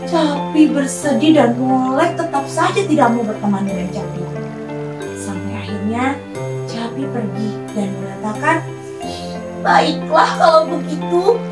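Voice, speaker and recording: A woman narrating a children's story in an animated storyteller style, as the host. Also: medium pitch. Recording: roomy echo, far from mic, music behind.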